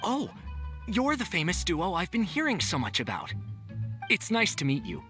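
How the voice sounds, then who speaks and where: A man's voice: sits high, wildly swooping pitch, moderate pace, bright, forceful tone, faint music behind, full character voice. A man voicing a friendly, hesitant young man in a video game, trailing off as he chats.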